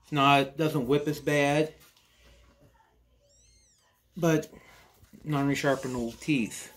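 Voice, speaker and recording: A man in his forties reviewing hand saws in a cluttered workshop, talking slowly and rambling with restarts. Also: fairly high pitch, fairly even intonation. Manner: slow, rambling, with frequent restarts and "you know". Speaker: woodworking channel host. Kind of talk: casual workshop tool review. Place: cluttered indoor workshop or basement.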